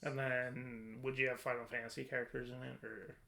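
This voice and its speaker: A man's voice: mid-range voice, flat delivery, a little fast, vocal fry, sharp tone. A man speaking casually and conversationally as a podcast co-host. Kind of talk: casual chat.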